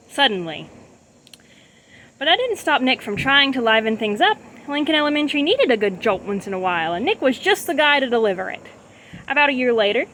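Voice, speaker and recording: A woman's voice: high voice, a bit bright, a little hiss or hum, rough recording. A woman reading a children's book aloud as a storyteller. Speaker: storybook reader.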